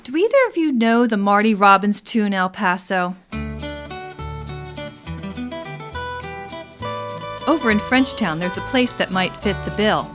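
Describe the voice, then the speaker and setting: A woman's voice: medium pitch, expressive, moderate pace, over music, lightly acted. A woman playing an inquisitive, easily exasperated amateur philosopher in scripted comedic radio theatre. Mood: suggesting.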